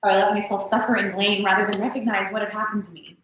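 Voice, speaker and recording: A woman's voice: mid-range voice, flat delivery, fast talker, a bit bright, close to an everyday voice. A young actress in a staged reading playing an intense woman, bitter about blaming herself. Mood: intense, pained. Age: young adult. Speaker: actor. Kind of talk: staged play reading, naturalistic drama. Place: large echoey indoor room. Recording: echoey hall, distant mic, faint noise.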